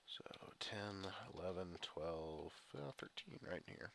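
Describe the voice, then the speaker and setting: A man's voice: deep voice, expressive, measured pace, gravelly. A man with a head cold, congested and sniffling, chatting casually over gameplay in a rambling, chuckling way. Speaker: gaming video creator. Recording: loud background noise and clicks.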